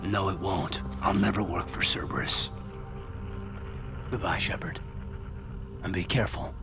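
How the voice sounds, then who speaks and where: Principled male officer for a sci-fi game: earnest, guarded, lowish voice under loud dramatic score. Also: steady tone, a little slow, creaky. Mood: resolute, guarded. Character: hurt, principled, loyal male military officer. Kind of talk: video game cutscene acting. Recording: loud dramatic music behind voice.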